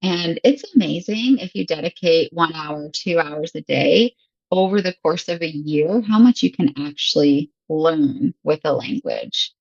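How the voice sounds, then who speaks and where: A woman's voice: low voice, unhurried, vocal fry, a little mellow, no echo, dull audio. An American woman from Michigan in her thirties, chatting casually and laughing often as an interview guest. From Michigan, USA. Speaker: guest, English teacher. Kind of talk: casual conversation.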